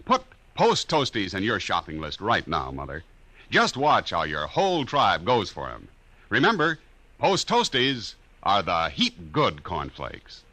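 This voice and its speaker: A man's voice: huge pitch swings, unhurried, slight background noise. A man reading an old-time radio ad and credits in a hearty, emphatic way. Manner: hearty, emphatic sales pitch. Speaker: radio announcer. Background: loud music.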